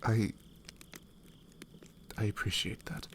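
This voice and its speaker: A man voicing a devoted, protective knight, soft, breathy and earnest, in a romantic ASMR fantasy roleplay. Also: takes it slowly, a little hiss or hum, close to an everyday voice. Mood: hesitant, grateful. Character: devoted young knight, protective, wary, tender lover.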